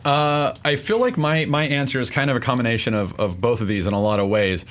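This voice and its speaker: A man's voice: medium pitch, fairly quick. A man arguing informally as a contestant on a film debate show, in a hesitant delivery full of fillers. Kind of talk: informal argument about films.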